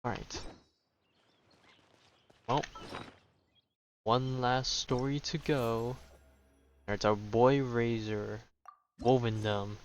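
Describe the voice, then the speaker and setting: A man's voice: medium pitch, steady tone, drawn-out words, pauses often, a little hiss or hum. A man giving casual commentary while playing through a video game, talking off the cuff. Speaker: gaming video host. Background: game music.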